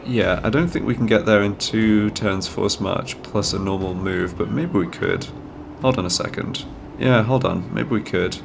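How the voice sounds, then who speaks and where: A man's voice: on the low side, moderate pace, creaky, hardly any pauses, over music. A man with a cold and a slightly nasal voice, thinking aloud as he plays a strategy game and explains each move. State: has a cold, sounds nasal. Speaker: gaming channel host. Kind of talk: casual strategy game commentary.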